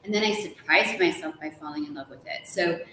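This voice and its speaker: A woman's voice: lowish voice, brisk, a bit bright. Effusive woman in a very echoey room. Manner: effusive, playful, emphatic repetition. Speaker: guest, author of an audio drama. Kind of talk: casual, festive live interview chat. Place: ornate hotel room, indoors. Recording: echoey room, some distance, background noise.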